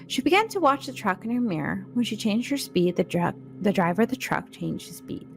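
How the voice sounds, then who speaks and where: A woman's voice: mid-range voice, big pitch swings, fairly quick, creaky, faint music behind. A woman co-hosting a read-along, telling a scary short story and addressing listeners informally and directly. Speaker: podcast co-host and story reader.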